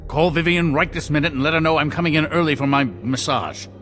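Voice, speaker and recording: An actor playing a pompous, petulant acting mayor in a video game, haughty and fussy, with theatrical enunciation. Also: male voice, fairly high pitch, fast talker, a bit bright, close to an everyday voice. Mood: irritable, bossy.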